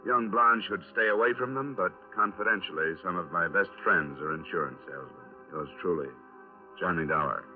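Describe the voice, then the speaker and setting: A man's voice: sits low, a little fast, phone-quality sound, over music, close to an everyday voice. An American man acting a hard-boiled, dry, insistent insurance investigator in a 1950s radio drama, clipped and matter-of-fact. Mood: dry, wry. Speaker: lead actor in a radio crime drama. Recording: thin, band-limited 1950s radio recording.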